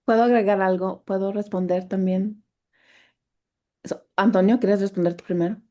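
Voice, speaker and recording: A woman's voice: mid-range voice, steady tone, moderate pace, mellow. A woman who teaches voice, speaking thoughtfully on a video call, searching for her words and restarting often.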